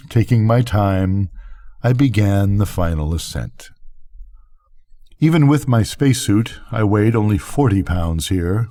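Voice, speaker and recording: An older man narrates a story in a deep, low register. His tone is dark and mellow. He reads in a measured, literary manner suited to a bedtime tale. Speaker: storyteller reading to a grandchild. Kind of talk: bedtime story narration of classic science fiction.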